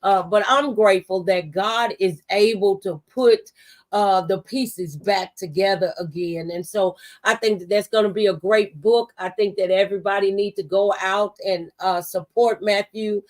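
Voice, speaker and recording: A woman's voice: medium pitch, fairly even intonation, normal speed. A woman hosting a faith-based talk show, speaking in an encouraging, testifying way with preacher-like exhortation.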